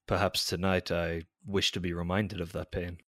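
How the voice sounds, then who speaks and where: Honour-bound officer in love. Low, dry, formal and restrained, brooding audio drama delivery. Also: male voice, flat delivery, moderate pace, a bit bright, no echo.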